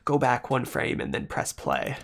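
A man's voice: mid-range voice, sing-song, moderate pace, creaky. A young man talking casually as he hosts a gaming tutorial video, recorded at a desk microphone. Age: young adult. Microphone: desktop condenser microphone.

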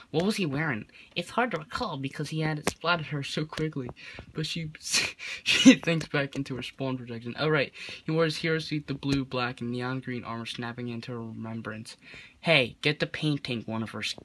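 A man's voice: mid-range voice, brisk, a bit bright, slight background noise. A man reading fan fiction aloud as a comedy host, amused and prone to stumbles and ad-libbed asides. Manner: breaks into giggles, stumbles over the text.

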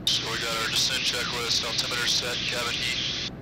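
A man's voice: mid-range voice, moderate pace. A young man narrating a flight casually and making radio calls as a pilot, speaking into an aviation headset in a small plane. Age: young adult. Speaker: pilot and vlogger. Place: small propeller plane cockpit. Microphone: aviation headset boom mic. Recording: loud engine noise through headset. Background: steady aircraft engine drone.

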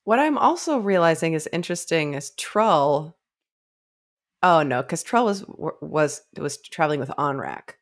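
A young woman with a low voice talks casually as a book club co-host. She retells a story loosely and acts out bits of dialogue as she goes. She speaks close to a desk microphone. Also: expressive, measured pace. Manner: acts out characters' lines in mock dialogue. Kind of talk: casual chat recapping a story. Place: small room at home, on a video call.

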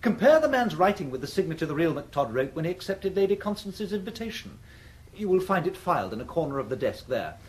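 An actor playing a pompous, aggrieved private secretary with an English accent, speaking in a stiff, formal way in a radio comedy. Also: male voice, fairly high pitch, big pitch swings, quick pace, a little mellow, slightly rough. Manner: formal, stiff, self-important. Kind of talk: radio comedy drama acting.

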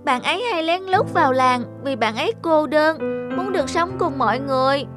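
A woman's voice: high-pitched, normal speed, over music, natural voice. A woman voicing a kind, earnest little schoolboy in a cartoon, with a sincere, pleading manner. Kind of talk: Vietnamese cartoon voice acting.

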